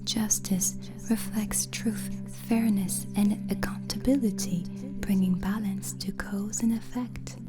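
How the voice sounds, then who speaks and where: A woman playing a gentle, hospitable witch in an ASMR roleplay, speaking in a breathy, soothing whisper. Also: medium pitch, fairly even intonation, close to an everyday voice. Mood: calm, instructive. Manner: slow, deliberate, soothing. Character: gentle, hospitable witch hosting a guest overnight. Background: music.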